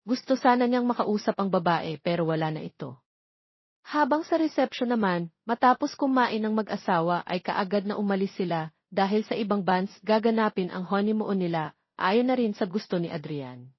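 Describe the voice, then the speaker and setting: A woman's voice: mid-range voice, animated, normal speed, husky, dry recording. A woman narrating a dramatic story from a script as a voice-over. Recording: dull lo-fi audio, very dry.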